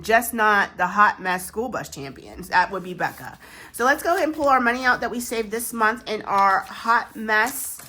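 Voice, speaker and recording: A woman's voice: fairly high pitch, bright, forceful tone. A woman, stuffy and congested from a chest cold, chatting casually to camera in a rambling, self-deprecating way while stuffing savings envelopes. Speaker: savings challenge vlogger.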